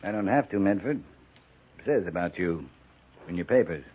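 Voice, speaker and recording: A man playing a gruff, commanding cavalry captain in a 1950s radio western, speaking tersely and with dry authority. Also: low-pitched, lively intonation, moderate pace. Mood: stern. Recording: muffled, noisy 1950s radio broadcast.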